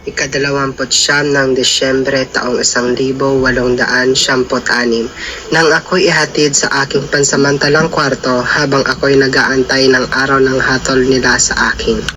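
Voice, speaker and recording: A man's voice: medium pitch, flat delivery, normal speed, close to an everyday voice, low-quality recording. A man playing a solemn condemned patriot, narrating his last days in scripted first person. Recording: music behind voice.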